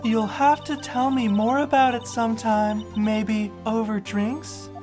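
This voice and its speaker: A man voicing a poised, kindly woman who is a chief lawyer and mentor, measured and gently teasing, in a fan-dubbed game. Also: extremely high pitch, flat delivery, over music. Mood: playful. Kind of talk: fan-dub video game dialogue.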